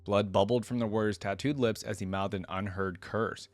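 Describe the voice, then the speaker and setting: Low-voiced man in a dry recording. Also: fairly even intonation, normal speed, no echo. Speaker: reaction video host. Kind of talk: casual reaction commentary mixed with reading lore text aloud.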